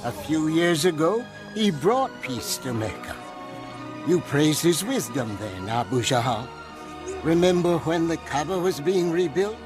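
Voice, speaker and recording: Casting: an old clan elder, slow, lively inflection, earnest and steadfast. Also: male voice, a little high. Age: older. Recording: animated film with music behind.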